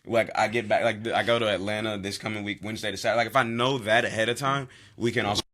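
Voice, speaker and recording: A man's voice: lowish voice, steady tone, quick pace, bright, forceful tone, dry recording, studio quality. A man in his thirties hosting a podcast in casual chat, rambling and cursing freely, often trailing off mid-sentence. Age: 30s. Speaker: podcast host.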